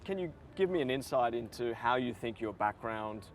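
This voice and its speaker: A man's voice: medium pitch, lively intonation, normal speed, slight background noise. A man interviewing a guest as a news reporter, asking conversational, probing questions. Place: outdoors at a summit venue.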